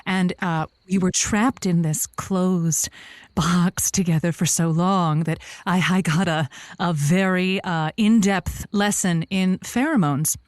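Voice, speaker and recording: A woman playing a worldly, encouraging radio advice host in a comedy audio drama, with playful, halting delivery. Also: lowish voice, steady tone, no room sound, clean studio sound. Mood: wistful, playful.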